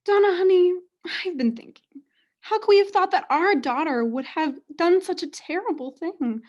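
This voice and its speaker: High-pitched young woman acting as doting mother. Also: animated, normal speed, muffled recording. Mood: reassuring. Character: doting, self-satisfied mother in denial. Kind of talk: student radio play acting.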